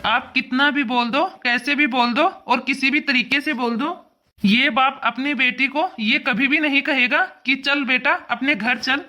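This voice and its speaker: A woman's voice: sits high, fairly even intonation, a little slow, pushed and bright. A woman voicing an indignant, protective father who lectures emphatically in a cartoon family drama. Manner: emphatic, lecturing, repetitive scolding.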